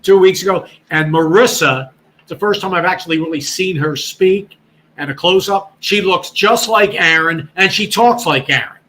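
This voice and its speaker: A talkative man in his sixties rambles through his news as a call-in guest, full of restarts. His voice is bright and a bit raised in pitch, and faint noise sits under the line. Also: moderate pace. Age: 60s. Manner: rambling, chatty, many restarts. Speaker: guest, former radio broadcaster. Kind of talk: casual chat on a call-in show.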